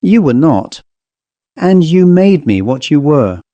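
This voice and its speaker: A bitter, proud woman full of reproach, as a male narrator reads her lines. Her voice is mellow and sits dry and close to the mic. She accuses rather than pleads. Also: fairly high pitch, very animated, slow pace, no echo, muffled recording. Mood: reproachful.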